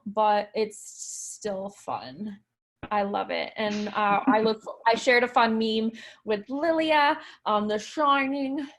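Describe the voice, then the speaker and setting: A woman moderating an online alumni panel over video call, enthusiastic and encouraging as she introduces guests. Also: mid-range voice. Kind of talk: upbeat online panel hosting.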